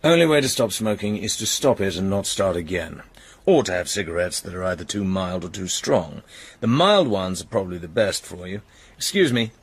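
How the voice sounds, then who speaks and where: Casting: smooth-mannered male spy, husky low voice, probing and flirtatious, for radio drama. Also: expressive, moderate pace, full character voice. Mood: dry, flirtatious. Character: suave, probing British secret agent.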